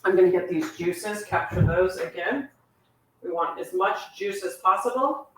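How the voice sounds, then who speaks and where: Woman host, slightly low and squeaky voice, chatty cooking-class teacher nursing a sore back, recorded at a distance in an echoey kitchen. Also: a little slow, slight background noise. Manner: chatty, warm-hearted asides, laughs at herself. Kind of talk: live online cooking class, answering viewer questions. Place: home kitchen. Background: clinking cutlery and dishes.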